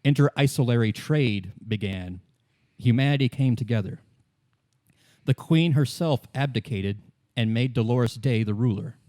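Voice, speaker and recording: A man narrating as game master, explaining fictional lore in a measured, solemn and deliberate storytelling manner. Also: mid-range voice, mellow, dry recording, studio quality. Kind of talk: improvised lore exposition in a tabletop game.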